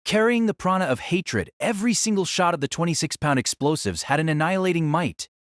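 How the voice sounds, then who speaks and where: A man's voice: on the high side, animated, fairly quick, no room sound. Dramatic male narrator, dry close sound. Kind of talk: dramatic scripted narration of a fantasy novel.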